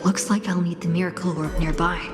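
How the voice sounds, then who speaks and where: Woman playing a low-pitched, practical adventurer. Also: fairly even intonation, brisk, over loud music, close to an everyday voice. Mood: focused.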